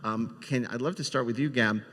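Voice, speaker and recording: A man's voice: mid-range voice, a little fast, fairly bright tone. A man hosting a panel, interviewing guests in a conversational way. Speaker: panel host, moderator. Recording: echoey hall with long reverb.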